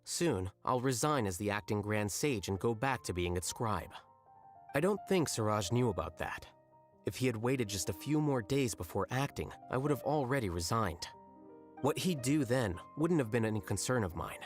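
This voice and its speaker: An actor playing an aloof, blunt young male scholar in a video game, deadpan, measured and articulate. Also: medium pitch, natural voice. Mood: calm, detached. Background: music.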